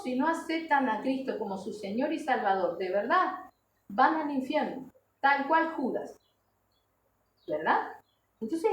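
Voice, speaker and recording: A woman preaching a sermon, exhorting her listeners earnestly with rhetorical questions and short check-in tags. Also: on the high side. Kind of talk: sermon to a congregation. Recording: echoey room, distant mic, background noise.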